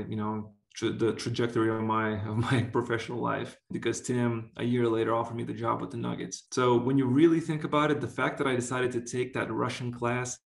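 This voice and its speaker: A man's voice: mid-range voice, vocal fry. A man from Lithuania giving casual, earnest interview answers as a guest, with a conversational and emphatic way of talking. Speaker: interview guest, basketball executive. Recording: roomy, echoey sound.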